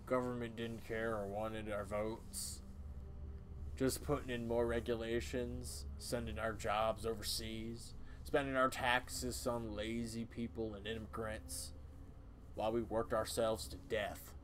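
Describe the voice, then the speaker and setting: Man doing a slow, grim old cult elder. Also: lowish voice, little pitch movement, slight background noise, natural voice. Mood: grim, self-righteous.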